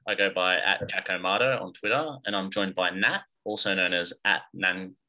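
A man's voice: low voice, steady tone, normal speed, dull audio, background noise. A young man talking on a podcast, earnest, his sentences tumbling over each other. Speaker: podcast guest, sports fan. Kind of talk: casual chat.

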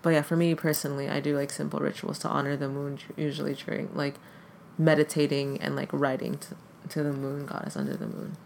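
Podcast guest, Tagalog native speaker, mid pitch, gravelly, hesitant, lots of filler words. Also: little pitch movement, a little fast, a little mellow, slight background noise. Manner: hesitant, heavy use of "like" fillers. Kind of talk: casual conversation.

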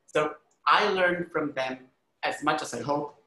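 A bright, forceful man's voice, pitched a little high, in a roomy recording. He is from Honduras and talks as an interview guest, earnest and emphatic, in a conversational way full of small filler phrases. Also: a little slow. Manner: earnest, emphatic, frequent "like" and "you know". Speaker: arts critic and educator, podcast guest. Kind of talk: conversational interview, personal storytelling.

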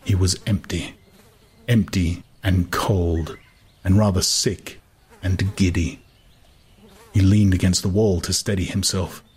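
A man narrating a literary audiobook in a dramatic, intense way, with deliberate phrasing. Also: sits low, steady tone, a little mellow, slightly rough.

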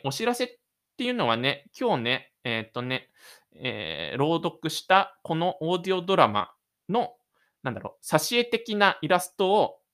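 A man hosting his own audio drama show, narrating and chatting gently. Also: on the high side, clean tone. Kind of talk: audio drama narration and casual host chat.

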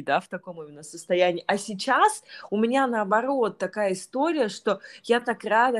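A woman teaching yoga and trained as a clinical psychologist, speaking thoughtfully in an interview, with many fillers and restarts. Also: medium pitch. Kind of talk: reflective interview conversation.

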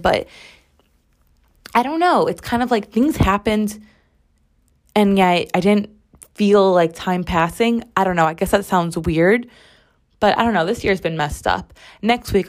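A woman in her twenties hosts her solo podcast in a rambling, emphatic style, swearing freely. Her voice is strained and hoarse, and she is recording from her bathroom at home. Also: on the low side. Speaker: podcast host. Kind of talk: casual solo podcast chat.

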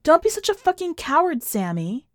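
A woman's voice: high-pitched, big pitch swings, moderate pace, dry recording, studio quality, voice pushed up. A woman narrator voicing a bitter, traumatized woman bent on revenge, taunting and emotionally raw, in an audio short story. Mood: defiant, taunting. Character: traumatized, vengeful, defiant woman, bitter and daring.

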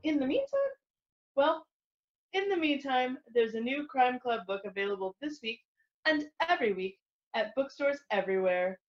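A woman playing a genteel, brisk librarian who hosts radio mysteries, polite and theatrical. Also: high voice, very animated. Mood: brisk, teasing. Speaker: host narrator. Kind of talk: vintage radio mystery hosting. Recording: dull lo-fi recording under music.

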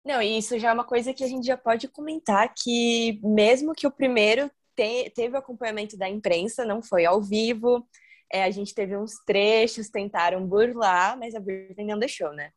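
A woman's voice: on the high side, normal speed. A young woman hosting a podcast, chatting casually and explaining things with lots of tag questions. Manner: chatty, explains with many "né?" tags. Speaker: podcast host.

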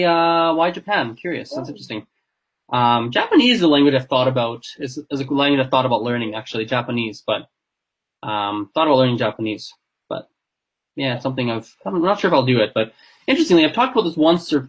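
A man's voice: fairly high pitch, husky, dull audio. A young man whose first language is Gujarati, chatting casually and thoughtfully on a livestream from home as he answers viewer questions.

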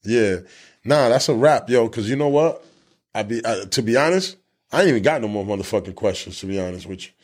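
A man's voice: on the low side, animated, fairly quick. A man in his thirties hosting a podcast, talking in loose, swearing street slang with many restarts. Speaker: podcast host. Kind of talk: casual chat.

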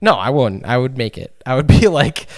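A man's voice: mid-range voice, very animated, normal speed. A young man co-hosting a casual podcast, rambling and teasing, with frequent restarts. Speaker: podcast co-host. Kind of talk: crude casual chat.

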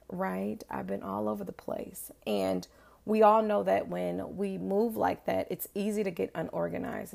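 A woman hosting her own podcast as a confidence coach, talking casually and emphatically with a hoarse, raspy voice. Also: medium pitch, a little mellow. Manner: chatty, emphatic, frequent "right?" and "um". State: hoarse, raspy voice after illness.

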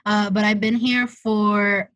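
A woman's voice: medium pitch, flat delivery, slow, a bit bright, dry recording. A woman in her twenties from Mexico, chatting casually on a podcast and speaking hesitantly with ums. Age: 20s. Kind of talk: casual chat.

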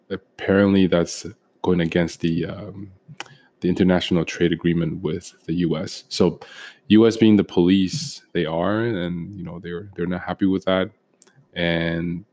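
Man, very deep voice, podcast co-host, casual chat, lots of ums and restarts. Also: a little mellow. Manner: hesitant, many ums and restarts.

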